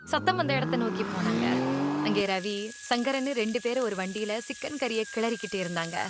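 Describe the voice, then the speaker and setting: A woman narrating a cartoon moral story in a clear, expressive way. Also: a little high, a little fast, noisy background. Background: music.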